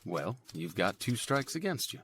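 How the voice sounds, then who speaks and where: A man voicing a blunt male medical examiner who speaks in a flat, dismissive way. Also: lowish voice, moderate pace, slight background noise. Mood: condescending.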